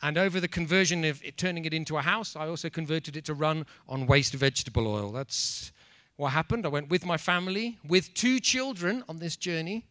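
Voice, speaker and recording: English guy in his 40s-50s doing a funny talk, really bright voice, super dry mic. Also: fairly high pitch, fairly quick, no echo. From England. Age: middle-aged. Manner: animated, playful, mock-apologetic. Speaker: speaker. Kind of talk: stand-up comedy talk. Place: conference room. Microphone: handheld mic.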